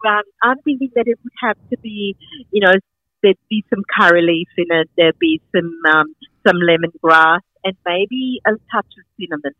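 A woman's voice: medium pitch, normal speed, no room sound, phone-quality sound. A middle-aged woman from Sri Lanka with a Sri Lankan accent, chatting enthusiastically as an interview guest, rambling with many fillers. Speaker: guest, market founder and farmer. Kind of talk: casual interview chat.

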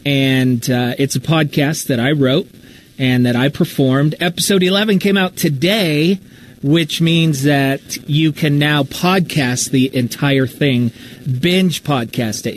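An American man chatting casually and informally as he hosts a live question session, with a self-deprecating manner. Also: a little high. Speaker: podcast writer and talk show host. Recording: noisy live stream audio.

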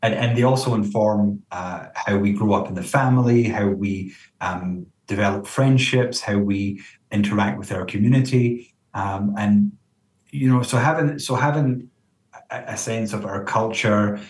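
A man's voice: on the low side, a little slow, darkish tone. A man speaking as a counsellor being interviewed, explaining in a conversational way and often checking in with the listener. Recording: roomy echo, distant from mic.

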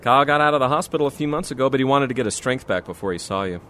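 Actor as fast-talking loyal male buddy. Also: mid-range voice, expressive, a bit bright, slight background noise, lightly acted. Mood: teasing. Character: loyal, teasing male friend. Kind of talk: audio drama dialogue.

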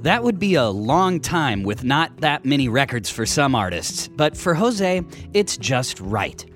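A man's voice: mid-range voice, very animated, unhurried, a bit bright. A man hosting a radio music show, reading a scripted introduction. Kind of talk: scripted narration.

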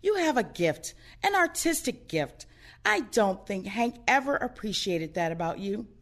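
A woman playing a blunt, sardonic, protective older woman in an audio play, outspoken and expressive. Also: mid-range voice, a little slow. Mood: admiring.

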